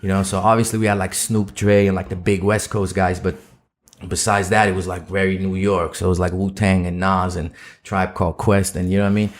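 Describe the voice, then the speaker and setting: A man's voice: sits low, flat delivery, fairly quick. A man raised in the Netherlands whose first language is Dutch, telling stories in a rambling, laid-back way as a podcast guest. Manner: laid-back, rambling storytelling with many fillers. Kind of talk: casual interview chat.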